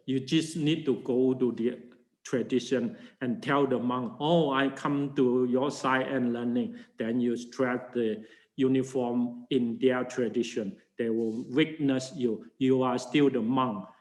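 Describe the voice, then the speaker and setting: An older man, a Buddhist monk teaching a class in halting full of fillers and restarts. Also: mid-range voice, fairly even intonation, darkish tone, echoey room, poor audio. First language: Chinese. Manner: halting non-native English with many fillers and restarts. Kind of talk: informal dharma class talk.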